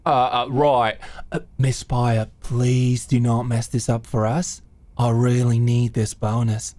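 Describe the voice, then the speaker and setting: Casting: man as hapless male public defender in audio drama, nervous, deferential, awkwardly formal, medium-pitched. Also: level tone, takes it slowly, darkish tone, close to an everyday voice. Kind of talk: courtroom roleplay audio drama.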